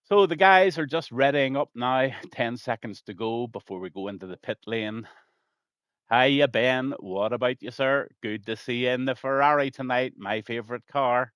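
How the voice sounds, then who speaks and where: A man's voice: medium pitch, normal speed, fairly bright tone, no room sound, highs cut off. A man with a Scouse accent commentating a sim race on a live stream, greeting viewers by name and letting his voice trail off at the ends of lines.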